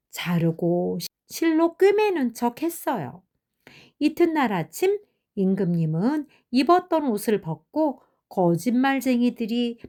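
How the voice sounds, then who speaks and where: An older woman narrating children's fairy tales in a gentle storytelling style. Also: a little high.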